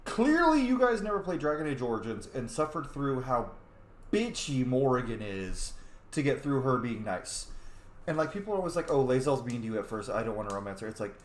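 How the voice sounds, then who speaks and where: A man chatting casually about video games while playing, in an animated, talkative way. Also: mid-range voice, a little fast, reverberant, a little hiss or hum. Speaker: player and commentator. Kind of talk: casual game commentary.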